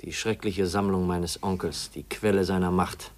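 A man's voice: low-pitched, steady tone, moderate pace, close to an everyday voice. An actor playing an earnest, bitterly disillusioned young man in a 1950s German radio drama. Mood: grave. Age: young adult. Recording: 1950s radio recording, faint noise, clicks.

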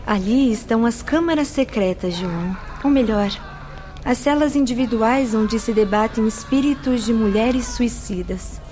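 A woman playing a spirit nun who guides visitors, speaking in a grave, instructive, measured way in a radio drama. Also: a little high, a little mellow, a little hiss or hum, lightly acted. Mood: solemn. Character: solemn nun spirit, stern female guide. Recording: music bed under voice.

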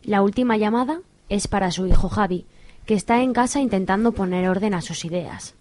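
A woman's voice: medium pitch, a little fast, a little mellow. Woman narrating a radio drama.

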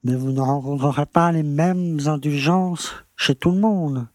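A man's voice: fairly high pitch, unhurried, no echo, clean studio sound, close to an everyday voice. A man playing a pompous, condescending and evasive small-town mayor in an audio drama. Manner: pompous, patronizing.

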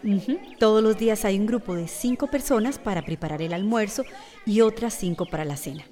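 Practical, friendly woman, acted, medium pitch. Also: fairly quick, warm tone, lightly acted. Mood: explaining, friendly. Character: welcoming, practical woman shelter organiser. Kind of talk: radio soap opera acting.

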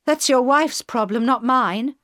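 A woman voicing an angry twenty-year-old female hijacker in a read-aloud story, her voice rising high and shrill. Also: measured pace, a bit bright, no room sound, studio quality. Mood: mocking.

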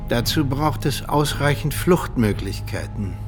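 A man plays a ruthless secret-service mentor in a radio play. His delivery is deliberate and commanding, with lively swings in pitch and a conspiratorial edge. Music runs clearly underneath. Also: close to an everyday voice. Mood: cold, calculating. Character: ruthless Soviet agent mentor, coldly persuasive commander. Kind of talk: radio drama acting.

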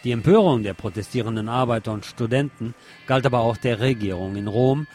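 A man's voice: mid-range voice, a little fast, a little mellow, slight background noise. A man presenting radio news, reading from a script in German news style.

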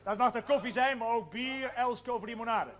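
High-voiced pompous master of ceremonies. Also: male voice, normal speed, very noisy. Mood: formal, festive. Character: jovial union man hosting a village film evening, formal. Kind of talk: ceremonial public address in a television drama. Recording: loud music behind, 1970s television soundtrack.

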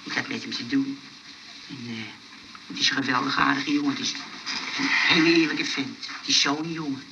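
An actor playing an excitable, earnest young man in a Dutch radio comedy. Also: mid-range voice, moderate pace, vocal fry, lo-fi sound, lightly acted. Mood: sheepish, earnest. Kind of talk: Dutch radio play acting. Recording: noisy old radio play recording.

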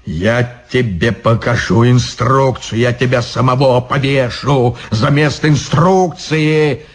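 A man's voice: medium pitch, slow pace, close to an everyday voice. A man doing a drunken quarrelsome monk. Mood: indignant.

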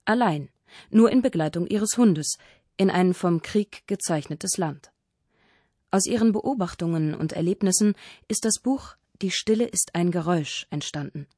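A woman's voice: on the low side, mellow, dry recording, clean studio sound. A woman reading scripted narration for a radio feature in a composed, orderly way.

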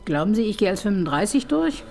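A woman's voice: medium pitch, very animated, fast, creaky, darkish tone, slight background noise. An actress in her fifties playing a dry, sardonic woman police chief inspector in a radio crime drama. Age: 50s. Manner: dry, sarcastic.

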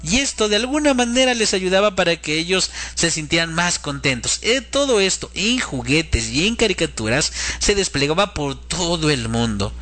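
A man with a fairly high voice and a very bright, forceful tone delivers a religious talk. He sounds earnest and instructive, addressing his listener directly as a middle-aged teacher of the faith would. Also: very animated, normal speed, few pauses. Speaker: religious programme host. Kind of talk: religious talk warning against children's cartoons.

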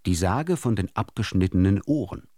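Very deep-voiced, theatrical male storyteller. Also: normal speed, no echo, clean studio sound. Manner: theatrical, expressive delivery. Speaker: narrator of absurd short tales. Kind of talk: scripted radio storytelling.

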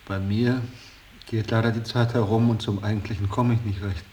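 An actor playing a discouraged former painter reduced to hack writing, respectful and plaintive, in a radio play. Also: male voice, on the low side, flat delivery, normal speed, warm tone, natural voice. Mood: apologetic, resigned. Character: discouraged, dutiful former painter, struggling newspaper writer, younger man. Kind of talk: German radio play acting. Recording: distant, slightly hissy radio drama recording.

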